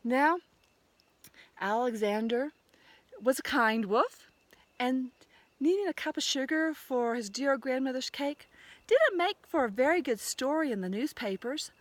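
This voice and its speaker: Female storyteller, conversational, higher-pitched, very lively intonation for a children's fairy tale. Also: unhurried, dry recording. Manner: animated, conversational. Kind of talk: oral fairy tale retelling.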